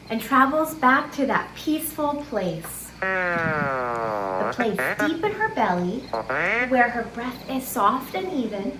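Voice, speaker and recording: A woman reading a picture book aloud to children as a story-time host, with expressive sing-song delivery and chatty asides to the listeners and a puppet. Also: fairly high pitch. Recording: echoey room with clear background noise.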